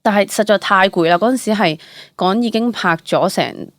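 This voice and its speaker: A young woman with a Hong Kong Cantonese accent, an actor telling stories casually as an interview guest, chatty and expressive, dropping in English words. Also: mid-range voice, moderate pace, dry recording, clean studio sound. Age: young adult.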